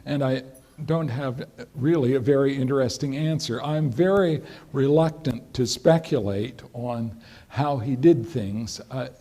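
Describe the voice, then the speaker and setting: A man answering audience questions after a lecture, speaking in a measured, hesitant way with dry humor. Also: mid-range voice, warm tone, breathy edge. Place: lecture hall.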